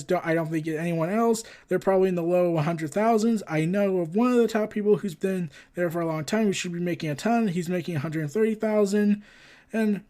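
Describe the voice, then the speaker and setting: A man's voice: high voice, darkish tone. A man hosting a pop culture news show, mixing read-out reports with casual, opinionated and exasperated commentary.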